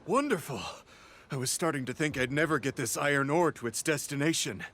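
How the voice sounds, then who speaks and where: A man's voice: on the high side, a little fast, a bit bright, a little hiss or hum, natural voice. An actor playing a weary, grateful male delivery worker in a video game, speaking hesitantly. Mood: relieved. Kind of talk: scripted game character dialogue.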